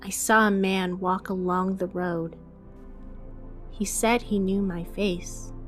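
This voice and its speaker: A woman playing a somber, cryptic poetry reciter in a radio drama, with a measured, solemn delivery. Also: mid-range voice, fairly even intonation, soft background music, voice pushed down, natural voice.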